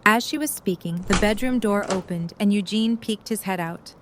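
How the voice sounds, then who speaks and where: A woman's voice: mid-range voice, moderate pace, background noise. A woman narrating a scripted story in an audio drama.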